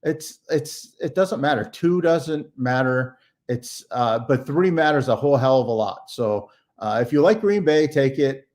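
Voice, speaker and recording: A man analyzing sports betting lines, explaining at a measured pace in casual talk. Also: medium pitch, animated, a little mellow.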